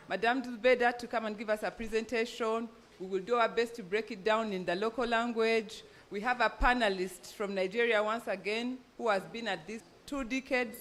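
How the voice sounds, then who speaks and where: A woman's voice: a little high, fairly bright tone, slight background noise. A woman with a Ugandan accent, formally introducing panelists as a conference moderator. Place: event venue, speaking live to an audience.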